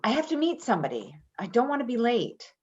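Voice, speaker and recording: Woman acting a brash, animated, rambling caregiver. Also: on the high side, slightly rough, dull audio, heavily acted. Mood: irritated, needling. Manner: rambling, halting, with nervous laughter. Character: brash, chatty, defensive woman caregiver named Patti. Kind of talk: naturalistic readers theater acting.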